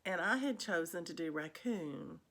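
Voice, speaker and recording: Lady vlogger just chatting away, lowish froggy voice, kinda stuffed up, rambles a bit. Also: animated, normal speed, a bit bright. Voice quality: slightly congested, froggy. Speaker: vlog host. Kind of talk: casual chat to viewers about cross stitch.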